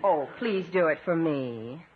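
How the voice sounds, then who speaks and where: A woman's voice: deep voice, animated, unhurried, background noise. A woman playing a sweet, coaxing sister in a 1950s radio sitcom. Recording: dull 1950s radio recording, studio audience.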